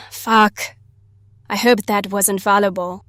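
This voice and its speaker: A woman's voice: medium pitch, fairly even intonation, takes it slowly, fairly bright tone, a little hiss or hum. A woman playing a blunt, curious, defiant sixteen-year-old girl with a casual, sweary manner in an audio drama. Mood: sheepish, stubborn.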